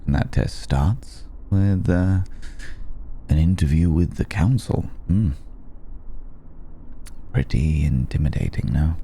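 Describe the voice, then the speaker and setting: An extremely deep-voiced, suave old vampire, acted by a man in an intimate ASMR roleplay. He speaks in a very dark, gravelly tone with refined, wry pauses, over faint background noise. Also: animated, full character voice. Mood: measured, courtly. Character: suave, worldly old male vampire, amused and courtly. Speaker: voice actor in audio roleplay.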